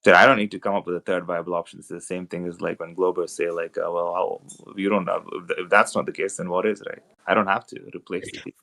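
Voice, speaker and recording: Man, very low voice, rapid talk, exasperated, swears, online debate. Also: flat delivery, creaky, bright, forceful tone. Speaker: debater on a call.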